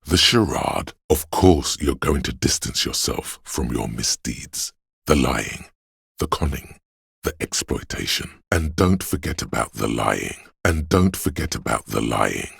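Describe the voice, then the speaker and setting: An actor voicing a gentle, perceptive inner voice of empathy in a video game, with solemn, measured, intimate narration in a gravelly, husky voice. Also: male voice, rumbling low, animated, dry recording, clean studio sound, heavily acted. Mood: grave, reproachful. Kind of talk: dramatic second-person game narration.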